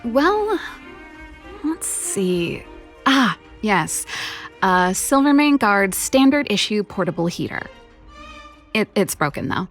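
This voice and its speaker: A young inventor and rock musician from a video game, with noticeable vocal fry at a middling pitch. She is a playful big sister, casual and expressive, teasing in a breezy way. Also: slow, long pauses, faint music behind.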